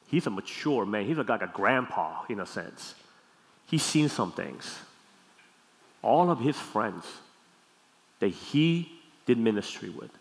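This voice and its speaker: A man, a native Korean speaker with a Korean accent, delivers a teaching sermon as a pastor, phrasing deliberately, repeating key words and checking in with questions. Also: on the low side, gravelly. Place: large hall, speaking to a congregation. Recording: long hall reverb.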